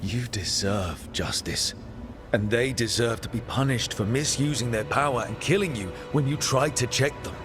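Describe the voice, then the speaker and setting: A man's voice: medium pitch, normal speed, over music, close to an everyday voice. An actor playing a determined male adventurer in a fantasy video game, speaking earnestly and plainly. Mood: firm, persuasive. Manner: earnest, plain-spoken persuasion. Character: earnest, determined heroic male adventurer pleading his case. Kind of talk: dramatic video game dialogue.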